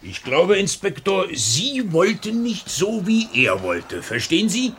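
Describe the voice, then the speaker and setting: A bluff old seaman, animated and bright. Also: high-pitched, slow pace, full character voice. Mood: confiding. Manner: folksy, hesitant, repeating words. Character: old lighthouse keeper and seaman, bluff, chatty, whisky-drinking. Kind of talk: radio crime drama acting. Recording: old radio play with faint background noise.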